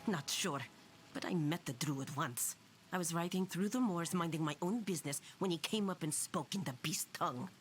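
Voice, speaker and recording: An actor playing a weary, embittered knight in a video game, talking in a gruff, grudging manner. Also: male voice, a little high, moderate pace, slight background noise, lightly acted. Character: weary, embittered knight commander guarding a settlement. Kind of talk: dramatic video game acting.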